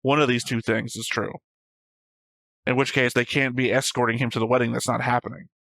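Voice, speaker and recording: A man in casual discussion as a reaction show co-host. He has a middling pitch and argues his points with wry jokes. The sound is dry, with very little room in it. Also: level tone, fairly quick, creaky, a bit bright, no room sound. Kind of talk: casual discussion of an animated series.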